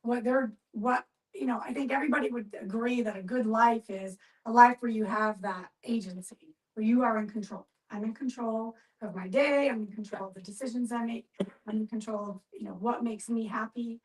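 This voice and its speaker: A woman with a moderately high voice explains her thinking to a group. She gives advice in a measured way, repeating phrases for emphasis. She sounds some way from the microphone. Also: steady tone. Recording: distant mic, group discussion.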